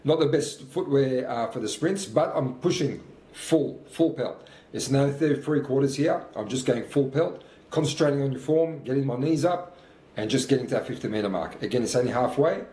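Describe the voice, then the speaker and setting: A man's voice: medium pitch, normal speed, dark tone. Motivational man, fifty, roomy sound. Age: 50s. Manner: motivational, talks through his own thoughts. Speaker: fitness coach. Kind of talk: voice-over commentary on his own workout.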